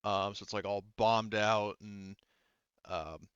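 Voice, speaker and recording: A man running a tabletop game, narrating off the cuff in a casual, halting, rambling way. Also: on the low side, fairly even intonation, fairly bright tone, smooth, dry recording, dull audio. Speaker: game master.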